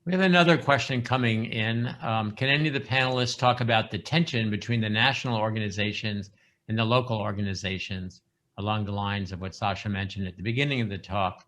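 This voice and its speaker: A man moderating a video call panel, reading audience questions in a measured way. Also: lowish voice, fairly even intonation, fairly bright tone. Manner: measured, brief murmured acknowledgements.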